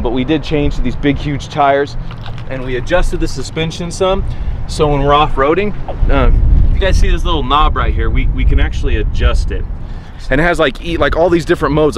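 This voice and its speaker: A man in his thirties hosting a casual vlog, talking to a handheld camera outdoors and then from inside a pickup truck, joking and rambling excitedly. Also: fairly high pitch. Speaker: vlogger, reptile-hunting channel host. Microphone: handheld camera at arm's length. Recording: noisy outdoor and road sound.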